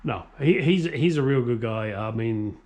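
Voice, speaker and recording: A man's voice: mid-range voice, animated, measured pace, a little mellow. Jokey British man, casual and rambling. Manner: jokey, self-deprecating, blunt with mild swearing. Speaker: host of a classic car workshop vlog. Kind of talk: casual, rambling talk to camera. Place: home workshop.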